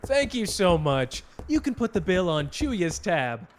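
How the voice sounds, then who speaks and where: A man playing a flirtatious, teasing detective in an audio drama, flippant and melodramatic in his smooth talk. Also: sits high, sing-song, a little slow, a little hiss or hum. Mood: teasing, smug.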